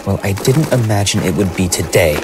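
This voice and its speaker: A man acts a put-upon small-town mayor in an audio drama. His voice is fairly low, fussy and evasive. There is clear noise in the background. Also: expressive, a little fast. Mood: peeved. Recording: noisy background ambience.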